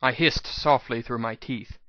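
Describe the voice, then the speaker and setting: Male narrator, medium pitch, dramatic story reading, scripted first person, close dry acoustics. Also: fairly even intonation, measured pace, bright, forceful tone, no room sound, dull audio. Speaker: audiobook reader.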